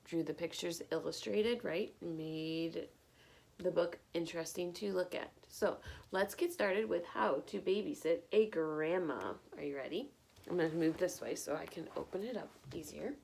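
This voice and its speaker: A woman reading a picture book aloud like a teacher, expressive and encouraging, pausing to put questions to young listeners. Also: fairly low pitch, creaky, slightly rough.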